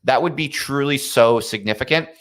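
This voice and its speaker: A man's voice: mid-range voice, moderate pace, fairly bright tone. A man hosting a casual live stream discussion, earnest and emphatic, repeating himself to make his point.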